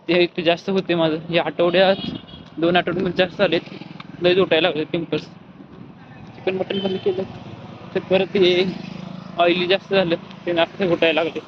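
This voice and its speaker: A young man with a rural western Maharashtra accent chatting casually for a daily vlog, rambling and correcting himself as he goes. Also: sits high. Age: young adult. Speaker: vlogger. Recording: dull lo-fi phone sound, background noise.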